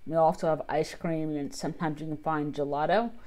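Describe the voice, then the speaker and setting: A woman's voice: low voice, fairly even intonation, fairly quick, dark tone. An American woman giving casual reaction commentary to a video, speaking into a headset. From United States.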